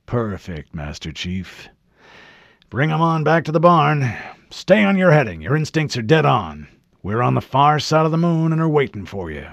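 Man doing a drawling gruff old admiral. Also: fairly high pitch, sing-song, normal speed, no room sound, dull audio, pitched above the performer's own voice. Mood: confident. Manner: drawling, folksy. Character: drawling gruff older male admiral, commanding.

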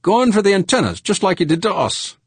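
A man voicing a gruff, blustery, excitable spaceship crewman who curses bluntly in a space adventure audiobook. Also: high voice, a little fast, no echo, voice pushed up, exaggerated voice. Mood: urgent, excited. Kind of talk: audiobook character dialogue.

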